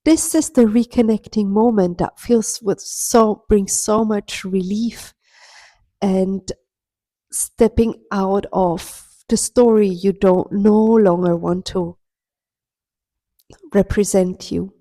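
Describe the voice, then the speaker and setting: A woman speaking as a spiritual healer, giving an earnest, soft-spoken coaching monologue straight to her listener. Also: medium pitch, fairly even intonation, takes it slowly, no echo. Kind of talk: reflective motivational monologue.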